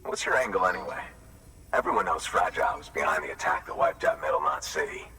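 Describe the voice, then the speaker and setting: Very deep, gruff, distrustful older man. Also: fast talker, pushed and bright. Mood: suspicious, bitter. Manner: folksy, grumbling. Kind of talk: video game acting. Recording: roomy echo, distant, faint noise.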